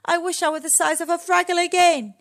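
A woman voicing a small male creature in a children's storybook, excitable, proud and earnest. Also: on the high side, a little slow, dry recording, studio quality, natural voice. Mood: panicked. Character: timid little male creature, grown giant and boastful. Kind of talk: children's storybook character reading.

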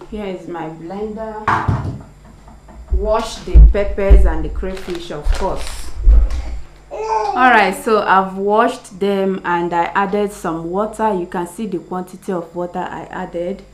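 Young Nigerian-accented woman, cooking vlogger; slow, chatty delivery, recorded on camera in an echoey kitchen. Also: mid-range voice, animated. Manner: chatty, talks to viewers as she works. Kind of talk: casual cooking demonstration. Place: home kitchen, indoors. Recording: echoey kitchen with clear background noise.

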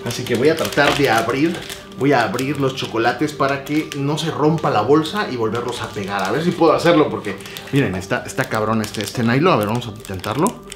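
A man in his thirties with a Mexican accent, vlogging in a loud, joking, animated way, filmed close up by his own camera. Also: medium pitch, brisk, soft background music. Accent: Mexican Spanish. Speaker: YouTuber. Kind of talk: excited vlog presenting. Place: small room with foam panels, and a store.

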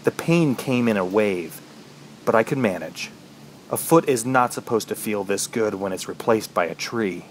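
An actor playing an injured, thirsty trapped man, narrating his ordeal in a brooding, halting, dramatic way for a horror radio play. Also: on the low side, animated, a little hiss or hum, natural voice, rough recording. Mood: grim, brooding.

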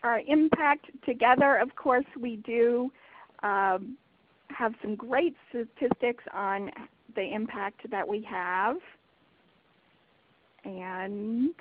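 Upbeat woman hosting over a phone line. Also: fairly high pitch. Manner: upbeat, enthusiastic. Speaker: webinar host. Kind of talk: hosting a webinar.

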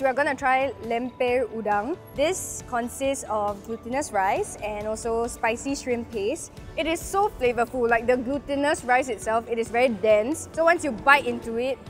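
Young Singaporean woman host, high bright voice, chatty food-tasting vlog, street recording with light music bed. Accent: Singaporean English. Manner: lively and chatty, savoring bites. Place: outdoors on city streets.

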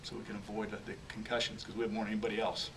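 A man in his forties sits in a small press room and talks into a table microphone, pitched a little low and often stumbling over repeated words. The mic sits some way off and picks up plenty of room noise. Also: level tone, distant mic. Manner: halting, repeats words while searching for phrases. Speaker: head football coach. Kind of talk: postgame press conference answers. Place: small press room, in front of a logo backdrop. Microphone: table microphone on a stand.

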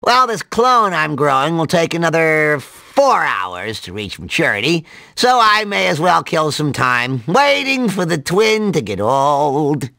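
A man voicing a nerdy, excitable, absent-minded cartoon mad scientist in a video game, nasal and full of rambling jargon and odd exclamations. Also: on the high side, unhurried, creaky, few pauses, dry recording, studio quality, heavily acted. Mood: eager rambling.

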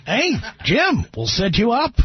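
A man's voice: extremely high pitch, wildly swooping pitch, unhurried, slightly rough, dry recording. A man hosting a call-in radio talk show, joking brashly with callers and reading out the phone number. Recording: muffled broadcast radio audio.

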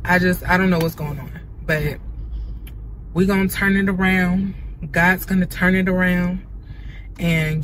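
A woman's voice: fairly low pitch, background noise. A woman in her thirties chatting casually to her vlog viewers from a parked car, sighing and laughing between thoughts. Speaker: vlogger. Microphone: phone camera on dashboard.

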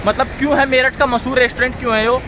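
A man's voice: extremely high pitch, fairly even intonation, very fast, background noise. A man in his twenties with a western Uttar Pradesh accent, chatting informally to viewers as a vlogger. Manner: informal, addresses viewers directly. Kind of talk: casual vlog chat. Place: outdoors, roadside and restaurant garden.

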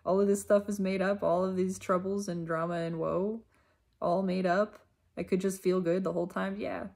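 A woman giving a reflective self-help monologue, unhurried and emphatic, often repeating her phrases. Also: fairly low pitch, level tone, gravelly, a little mellow.

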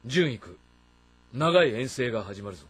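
An actor playing a commanding, shrewd warlord of ancient China in a dramatic game, with a terse, authoritative manner. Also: male voice, medium pitch, animated, normal speed, fairly bright tone, breathy edge. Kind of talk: dramatic audio-novel game acting.

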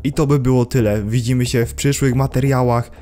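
A man in his thirties hosting a crypto channel, giving measured critical commentary in a voice-over and speaking straight to his viewers. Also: mid-range voice, steady tone, mellow, a little hiss or hum.

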